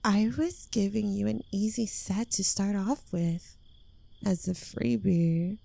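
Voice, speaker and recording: Woman acting a slow, creaky-voiced possessive witch girlfriend. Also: mid-range voice, mellow, no room sound, heavily acted. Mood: teasing, smug. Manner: coy, teasing, lingering delivery dropping into breathy whispers. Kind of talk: intimate ASMR roleplay, addressed to the listener.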